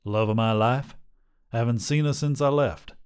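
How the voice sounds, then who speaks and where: A man's voice: mid-range voice, normal speed, a little mellow, clear, resonant tone, close to an everyday voice. A man doing a stammering dazed drifter. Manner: hesitant, stammering.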